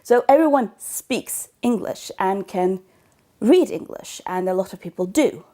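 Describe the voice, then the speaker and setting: A woman's voice: mid-range voice, animated, unhurried, mellow. A woman from Norway, a native Norwegian speaker, talking to camera as a book blogger in a thoughtful, hesitant way as she searches for words. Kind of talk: casual vlog talk to camera.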